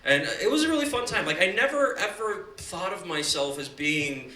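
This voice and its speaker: A young man doing a stand-up set at a comedy bar, chatty and swearing, with quick asides. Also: high-pitched, animated, bright, forceful tone. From Iceland, raised in the United States. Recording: echoey club from far off, audience.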